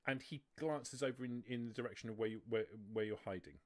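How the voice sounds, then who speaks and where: A man's voice: medium pitch, quick pace, darkish tone. A man narrating and explaining rules as game master of a tabletop roleplaying game, often restarting his sentences.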